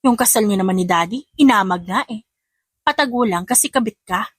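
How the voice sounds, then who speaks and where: A woman voicing a spiteful, sharp-tongued young heiress in a dramatic audio story, taunting and confrontational. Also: medium pitch, a little fast, breathy edge, no room sound, clean studio sound, natural voice. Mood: scornful.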